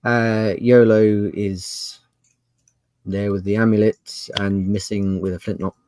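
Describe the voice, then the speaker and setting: Dark, low-voiced man running a game. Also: flat delivery, slow, no room sound. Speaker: game master. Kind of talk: improvised tabletop game narration.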